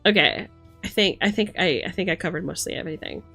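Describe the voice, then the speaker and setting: A woman's voice: on the low side, quick pace, vocal fry, pushed and bright, breathy edge, faint music behind. A woman hosting a livestream, talking casually and emphatically with plenty of swearing as she rants and chats with commenters. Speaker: livestream host.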